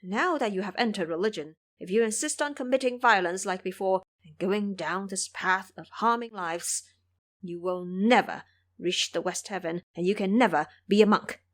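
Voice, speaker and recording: A woman's voice: medium pitch, normal speed, close to an everyday voice. A woman playing a pious male Buddhist monk, an earnest master who admonishes and preaches in an audio drama. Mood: stern.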